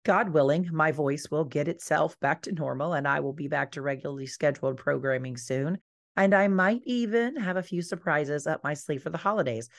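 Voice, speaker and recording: Hoarse woman coach, deep and low, earnest and encouraging, solo podcast talk. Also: a little fast. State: hoarse, recovering from losing her voice. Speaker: life coach and podcast host.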